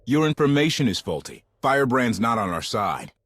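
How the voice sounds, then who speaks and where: An actor playing a blunt, disapproving crew member in a video game, with a rough, husky male voice. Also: medium pitch, sing-song, normal speed, fairly bright tone. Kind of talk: video game cutscene acting.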